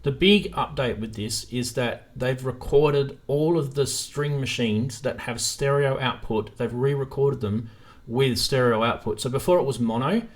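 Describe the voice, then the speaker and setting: Guy hosting a livestream, just chatting casually, mid pitched voice, kinda thinking out loud. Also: unhurried, few pauses. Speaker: live stream host. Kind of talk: casual live-stream chat.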